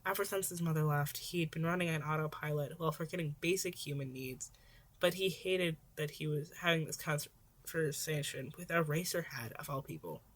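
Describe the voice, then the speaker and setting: Female podfic narrator, lower-than-average register, scripted story reading. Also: moderate pace.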